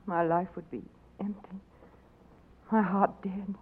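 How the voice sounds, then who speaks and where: A woman's voice: medium pitch, little pitch movement, takes it slowly, lightly acted. An actress playing a devoted young princess pleading with her beloved in a 1940s radio drama. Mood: tender. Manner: tender, pleading. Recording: muffled old radio recording with hiss.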